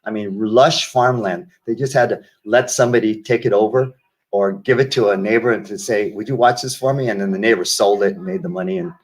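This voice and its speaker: An older man from the United States speaks in a lowish voice as an interview guest. He tells his stories slowly and reflectively, doubling back and restarting his sentences. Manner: reflective storyteller, many restarts and asides. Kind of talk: casual interview conversation.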